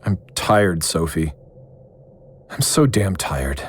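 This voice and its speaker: Worn-out male occult investigator: gentle, sincere, very varied intonation, horror audio drama. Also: deep voice, big pitch swings, takes it slowly, husky, exaggerated voice. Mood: weary.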